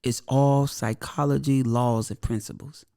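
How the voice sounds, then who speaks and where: A man talking as a podcast guest who has a high-pitched voice, speaking bluntly and repeating his points for emphasis. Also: steady tone, a little slow, creaky, mellow. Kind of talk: casual podcast conversation.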